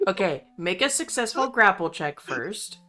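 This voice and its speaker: A woman's voice: mid-range voice, sing-song, normal speed, bright, forceful tone. A woman acting as game master, narrating a tabletop roleplaying game. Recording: music under the voice. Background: instrumental music.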